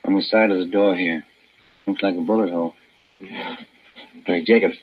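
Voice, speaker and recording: An actor playing a calm, businesslike police detective sergeant in a 1950s radio crime drama, terse and matter-of-fact but polite. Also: male voice, sits low, normal speed, breathy edge. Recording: dull, noisy 1950s radio recording.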